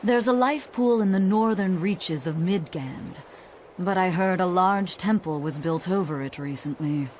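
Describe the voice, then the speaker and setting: Low, composed scholarly woman, acted. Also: a little slow, darkish tone, slight background noise, lightly acted. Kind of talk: expository video game voice acting.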